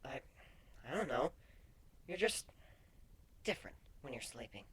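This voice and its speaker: A man voicing a soft-spoken, shy female changeling with a breathy, whispery voice, affectionate and hesitant, in a story reading. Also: mid-range voice, sing-song, a little slow, heavily acted. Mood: hesitant, tender.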